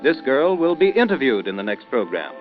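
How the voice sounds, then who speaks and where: A male announcer with very lively intonation and a polished, emphatic pitchman's delivery. It is 1930s radio style. The recording is dull, with music clearly behind. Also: a little fast.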